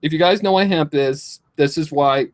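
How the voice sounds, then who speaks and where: A man of twenty-two speaking emotionally to his followers in a halting, repetitive, pleading way, distressed and close to tears, with slightly affected speech. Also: a little high. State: distressed, tearful. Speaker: vlogger. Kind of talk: emotional confessional talk to followers.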